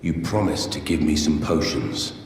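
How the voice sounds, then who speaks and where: Very deep-voiced, curt mercenary warrior. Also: male voice, moderate pace, a little hiss or hum. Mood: businesslike. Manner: terse, curt. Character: gruff, aloof mercenary warrior, self-reliant and blunt. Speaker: game protagonist. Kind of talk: fantasy game dialogue acting. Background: loud background music.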